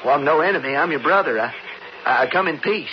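An actor playing an anxious, earnest frontier cowboy in a radio western, plain-spoken. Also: male voice, a little high, expressive, quick pace, natural voice. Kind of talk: 1970s radio western acting. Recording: muffled noisy old radio broadcast.

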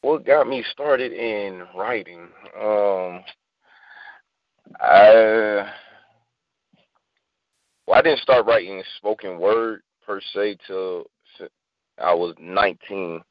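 A man's voice: on the low side, takes it slowly, pauses often, no echo, dull audio. A man in his thirties from Virginia, chatting casually as a guest poet and often repeating himself. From Virginia, United States. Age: 30s. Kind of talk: casual interview chat.

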